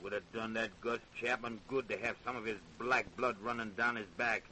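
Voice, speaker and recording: An actor playing a servile but cruel plantation hand in a radio drama, folksy and eager, with a Southern American accent. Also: male voice, on the low side, steady tone, normal speed, bright, forceful tone, slight background noise, rough recording. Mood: boastful, cruel. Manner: folksy dialect, eager, menacing relish. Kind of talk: old-time radio drama acting.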